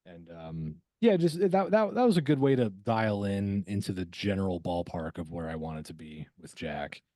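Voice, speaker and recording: A man who works as a voice actor, talking casually as a podcast guest, reflective and halting, often repeating words as he finds his way. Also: low voice, animated, mellow, no room sound, clean studio sound.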